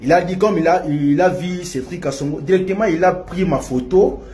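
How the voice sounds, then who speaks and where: A young man with a Congolese French accent speaks into a close phone mic. His voice sits a little high for a man, and he circles back on himself with fillers and repeated phrases. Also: darkish tone. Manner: halting and repetitive, many restarts and fillers. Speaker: man clearing his name. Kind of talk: video statement.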